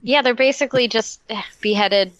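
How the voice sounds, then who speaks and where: A woman's voice: fairly high pitch, a little fast, fairly bright tone, dull audio. A woman co-hosting a podcast, chatting casually and joking with a group. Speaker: podcast co-host.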